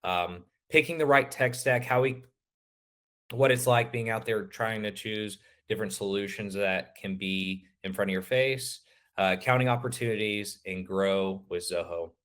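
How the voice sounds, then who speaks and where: A man presenting a webinar, his voice a little raspy and hoarse, talking casually with many fillers. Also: on the low side, moderate pace, a bit bright. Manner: casual, filler-heavy, loose explanations. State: slightly hoarse.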